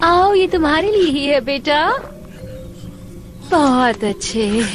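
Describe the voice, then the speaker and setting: A woman's voice: sits high, big pitch swings, slow pace, full character voice. A woman playing a coaxing, sweetly sly lady in a Hindi cartoon dub. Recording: music under the voice.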